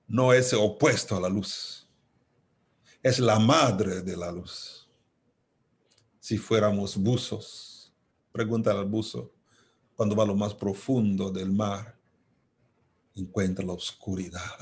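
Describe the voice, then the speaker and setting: A man with a Congolese accent speaks very slowly in a fairly low voice. He delivers a reflective philosophical talk, deliberate and emphatic, circling back to key phrases with pauses between them. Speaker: invited speaker, philosopher and theologian.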